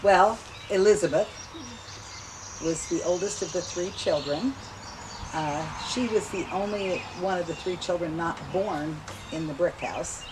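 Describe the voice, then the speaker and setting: A woman in her seventies warmly recounting family memories in a relaxed, chatty interview. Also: fairly low pitch, unhurried. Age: 70s. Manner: chatty, reminiscing, laughs easily. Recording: outdoor noise with insects and birds. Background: insects and birds.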